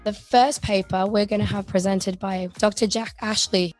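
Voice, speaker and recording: A woman's voice: mid-range voice, steady tone, normal speed, over music. A woman hosting a medical podcast, introducing the episode in an upbeat, welcoming way. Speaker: podcast host.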